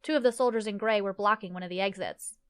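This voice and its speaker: A woman's voice: mid-range voice, fast talker, clear, resonant tone. Casting: female narrator, medium register, scripted fantasy storytelling.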